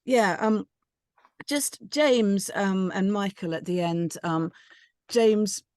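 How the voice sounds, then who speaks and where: A woman's voice: mid-range voice, takes it slowly, darkish tone, dry recording, studio quality. A woman giving informal feedback on a script, tentative and hesitant as she thinks aloud. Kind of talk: informal spoken critique of a script.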